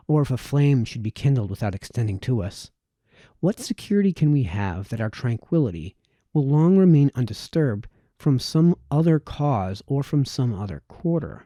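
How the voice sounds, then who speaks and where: A man reads formal prose aloud in a very dark, soft-edged voice. His phrasing is measured and formal, and the sound is dry and close, with little room around it. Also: mid-range voice, dry recording. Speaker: audiobook reader. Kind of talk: reading aloud formal historical political essays.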